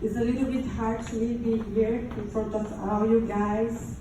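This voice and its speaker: A woman from Brazil with a Brazilian Portuguese accent gives a personal testimony to an audience, haltingly and in non-native English. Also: a little high, flat delivery. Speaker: survivor giving testimony. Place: large echoey room, before an audience. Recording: very echoey room, distant mic, faint noise.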